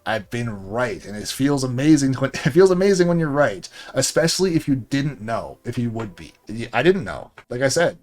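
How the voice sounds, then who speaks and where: Rambling conversational man talking to viewers. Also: mid-range voice. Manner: conversational, rambling with frequent fillers. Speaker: content creator and coach. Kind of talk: casual monologue to viewers.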